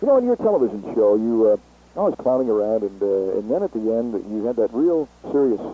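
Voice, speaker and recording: A man interviewing a guest informally, pausing and feeling his way into questions, on a dull phone-call recording. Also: medium pitch, big pitch swings, breathy edge. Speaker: radio interviewer.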